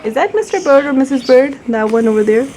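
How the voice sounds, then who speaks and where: A woman reading a picture book aloud to her young child, expressive and simple in her wording, often prompting with questions. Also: high-pitched, fast talker, background noise. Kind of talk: storybook read-aloud with questions and chat to a child.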